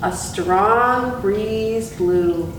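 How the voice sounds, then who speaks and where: A woman working as an educator, giving a talk and storytime reading for children with gentle, deliberate delivery. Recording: very echoey room, distant mic, faint rumble.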